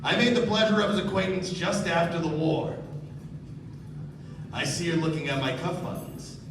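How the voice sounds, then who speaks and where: A man performs an older racketeer in a stage radio drama, polite and pleased with himself. His voice sits slightly high. It comes distant and very echoey, over clear background noise. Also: steady tone, normal speed, natural voice. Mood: smug, sociable. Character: genteel, sinister older gangster and gambler. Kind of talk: 1940s-style live radio play acting. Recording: echoey stage, distant mic, noisy room.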